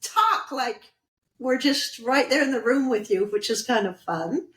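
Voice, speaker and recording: A woman's voice: a little high, expressive, unhurried, a bit bright, reverberant, a little hiss or hum. A woman hosting a podcast, chatting casually and upbeat with a guest, often laughing. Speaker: podcast host. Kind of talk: casual interview chat.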